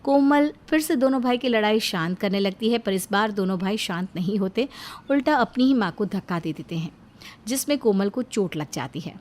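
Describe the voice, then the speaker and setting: A woman's voice: medium pitch, lively intonation, moderate pace, darkish tone. A woman narrating a scripted family story as a storyteller.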